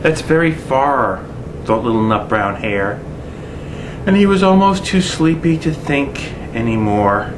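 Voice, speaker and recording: A man reading a children's bedtime story aloud in a playful, deliberate, tongue-in-cheek way. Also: mid-range voice, background noise. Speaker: storybook reader.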